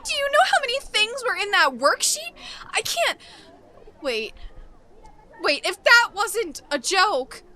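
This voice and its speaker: A woman playing a panicky, overwhelmed teenage park employee in a comedy audio drama, stammering and halting. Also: very high-pitched, sing-song, sharp tone, heavily acted. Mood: stunned disbelief.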